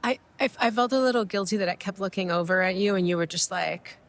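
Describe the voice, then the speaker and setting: A woman's voice: medium pitch, quick pace. Reflective Mexican-born woman chatting. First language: Spanish. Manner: meandering, reflective, with many fillers and restarts. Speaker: podcast co-host. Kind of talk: casual chat. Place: airport waiting area.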